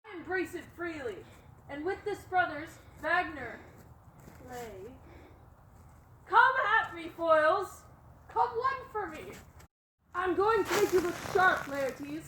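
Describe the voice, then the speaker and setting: A troubled young prince, played by a woman in an amateur home video. The voice sits very high and moves at an unhurried, careful pace. Faint room noise hums underneath. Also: fairly bright tone, heavily acted, low-quality recording.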